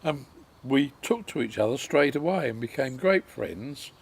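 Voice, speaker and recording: A man, recalling his life story in a halting way with many pauses and ums. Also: mid-range voice, expressive, gravelly, breathy edge. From England. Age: 90s. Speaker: interviewee.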